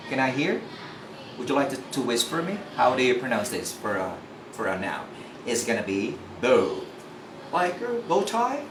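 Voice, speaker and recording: A man teaching English pronunciation in a lively, joking classroom style, often asking listeners questions. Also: medium pitch. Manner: animated, jokey, asks listeners questions. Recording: echoey room, mic at a distance, background noise.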